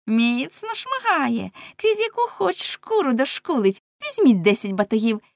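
Bawdy young storybook wench, played by a female reader: very high, shrill, taunting, animated. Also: a little slow, vocal fry, no echo, studio quality, heavily acted. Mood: threatening, gleeful. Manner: shrill, exclaiming, teasing. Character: bawdy, taunting young tavern women, loud and bold.

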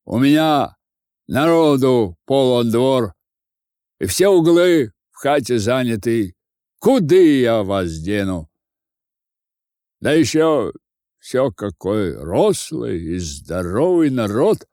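A man voicing a gruff, suspicious old village woman in a storybook reading, grumbling slowly and deliberately. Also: medium pitch, very animated, darkish tone, dry recording, clean studio sound. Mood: grumbling refusal. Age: older.

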